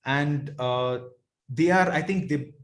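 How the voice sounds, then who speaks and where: A man with a South Indian accent talks as a guest in an interview. His voice sits at a medium pitch. He is reflective and informal, often checking in with the listener as he explains. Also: takes it slowly. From Bengaluru, India. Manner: reflective, checks in with "you know" and "right". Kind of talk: casual interview conversation.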